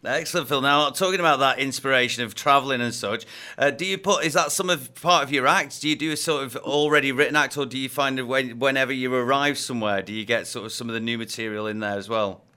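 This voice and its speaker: A man's voice: mid-range voice, fast talker, sharp tone. A man hosting a radio interview, chatty, with rambling questions put to a guest. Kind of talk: upbeat live radio interview.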